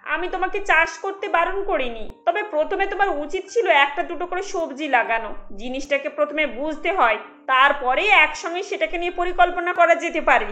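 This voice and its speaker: An older mother-in-law from a cartoon, acted by a woman, talks in a high-pitched voice. She admonishes and explains, firm but reasonable. Faint music sits behind her. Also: sharp tone. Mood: admonishing. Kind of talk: animated cartoon story acting.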